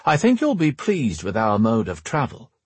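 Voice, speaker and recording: A man's voice: medium pitch, huge pitch swings, normal speed, slightly rough, no echo. A man voicing a suave, formally courteous male rogue with an amiable manner in an audiobook. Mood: conciliatory. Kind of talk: audiobook character dialogue.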